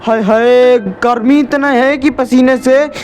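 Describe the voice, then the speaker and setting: A man voicing a brash, excitable young villager who exclaims loudly and melodramatically in a cartoon comedy story. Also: extremely high pitch, slow, voice pushed up. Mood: exasperated.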